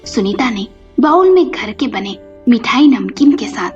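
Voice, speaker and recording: A woman's voice: fairly high pitch, expressive, a little slow, a little mellow. A woman narrating a scripted story as a storyteller. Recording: dull lo-fi audio with music behind. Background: music.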